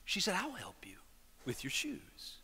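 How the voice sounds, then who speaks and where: A middle-aged man, a priest, telling a story in a sermon from the pulpit, animated and shifting between loud lines and hushed whispers. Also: high-pitched, measured pace, breathy edge. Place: large stone church, speaking from a pulpit. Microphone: pulpit microphone. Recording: long church reverb.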